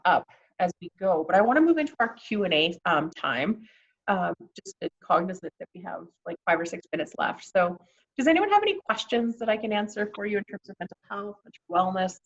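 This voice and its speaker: A woman's voice: mid-range voice, very animated, brisk, lo-fi sound. A Canadian woman presenting an informal online workshop in a conversational, encouraging way. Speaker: workshop presenter on mental health.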